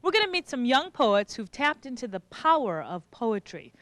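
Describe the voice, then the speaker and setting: A woman's voice: on the high side, sing-song, normal speed, a bit bright, no echo. A woman hosting a youth talk show, addressing guests and studio audience. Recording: music behind, 1990s VHS tape.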